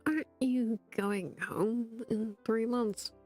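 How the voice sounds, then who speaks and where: Woman doing a worn-out mopey young guy, dry and low-key. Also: steady tone, slow, a little mellow, faint music behind. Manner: tired, dry and downbeat. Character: weary, gloomy young man.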